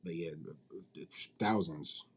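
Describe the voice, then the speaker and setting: A man's voice: low-pitched, slow, slightly rough. A man speaking informally as an interview guest, colloquial and repeating points for emphasis. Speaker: guest, indie manga publisher and company CEO. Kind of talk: casual interview chat.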